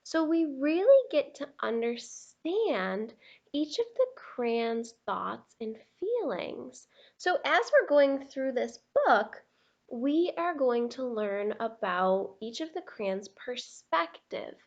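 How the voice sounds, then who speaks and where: A woman teacher explaining a picture book to children in an instructive way, with deliberate emphasis. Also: a little high, big pitch swings, dull audio. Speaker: teacher reading aloud.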